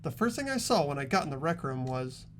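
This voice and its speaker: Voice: medium pitch, very animated, fast, slight background noise, lightly acted. A young performer voicing an earnest, anxious teenage boy who narrates and questions in a dramatic video game. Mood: tense narration.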